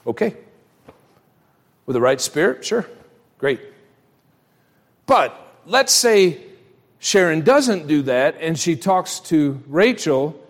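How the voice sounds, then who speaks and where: A man's voice: a little high, very animated, takes it slowly, long pauses. A man preaching a church sermon as pastor, emphatic and direct, putting rhetorical questions straight to his listeners. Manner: acts out imagined dialogue. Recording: long hall reverb.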